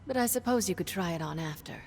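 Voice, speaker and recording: An actress playing a wry, strong-willed adventurer in a game cutscene, with a pointed way of talking. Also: female voice, lowish voice, lively intonation, fast talker, a little mellow. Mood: wry, teasing. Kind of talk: dramatic game cutscene acting. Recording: reverberant, faint music behind.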